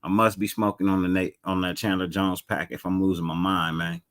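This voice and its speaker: A man hosting a casual live sports podcast, greeting chat viewers by name and talking loosely with a mild stutter and repeated words. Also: fairly quick. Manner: gets higher and more animated when excited. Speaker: podcast host.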